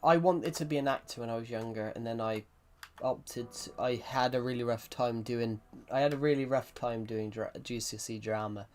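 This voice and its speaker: A man's voice: medium pitch, normal speed, few pauses. A young man from England with a Southwest English accent, chatting casually while streaming a game, rambling, restarting his sentences and reading viewer messages aloud. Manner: rambling, restarts a lot, reads chat aloud. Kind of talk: casual live-stream chat. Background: faint music and keyboard typing.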